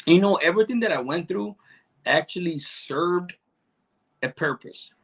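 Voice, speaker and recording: Man, middle-aged, gravelly, raspy edge, streetwise slang, casual livestream chat. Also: sits high, animated, measured pace. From Salvadoran, raised in Chicano neighborhoods. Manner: streetwise slang, heavy swearing, repeats himself. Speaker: livestream host answering chat.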